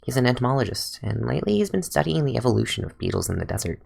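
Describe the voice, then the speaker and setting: A man voicing a dry, gravelly fox-eared ranger. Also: mid-range voice, sing-song, very fast, heavily acted. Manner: dry, sardonic, with soft musing asides. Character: calm young male fox-eared forest ranger scholar, dry and sardonic. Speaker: fan voice actor. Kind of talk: fan redub of game voice lines.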